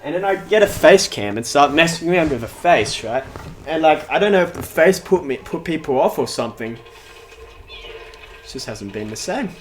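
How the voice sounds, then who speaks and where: A man's voice: on the high side, animated, normal speed, faint music behind. A nineteen-year-old man streaming a game, chatting casually in a rambling, talkative way while answering chat, with a voice that sounds younger than his age. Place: at home in front of a big TV.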